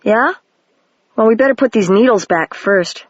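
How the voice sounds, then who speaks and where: A reader voicing a bold, curious young girl who is a wizard, slightly higher than their plain reading voice, on a dry, dull lo-fi home recording. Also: animated, moderate pace, close to an everyday voice.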